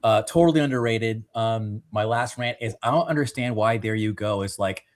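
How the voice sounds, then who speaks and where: A man co-hosting a music podcast, reading out his ranking and praising songs with enthusiastic, drawn-out emphasis. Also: lowish voice. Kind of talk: casual podcast chat.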